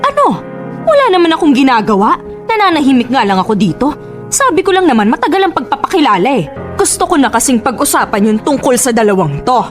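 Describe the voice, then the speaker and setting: A sharp-tongued Filipino mother in a radio drama, arguing and accusing. Her high voice swoops with heavy sarcasm over a music bed. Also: big pitch swings, quick pace. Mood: impatient. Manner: sarcastic, argumentative. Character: combative, sharp-tongued mother of a young man, defensive, accusing.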